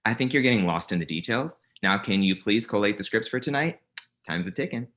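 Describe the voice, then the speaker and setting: Male actor as aggrieved office rival, low pitch, combative, smugly ambitious, earnest delivery, comedy table read. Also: expressive, fairly quick, a bit bright. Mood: self-assured.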